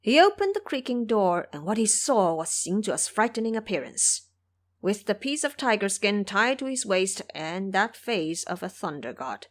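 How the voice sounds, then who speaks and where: A woman delivers scripted storytelling narration for an audio drama. Her reading is expressive, with pitch that moves widely and keeps the phrases lively. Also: normal speed.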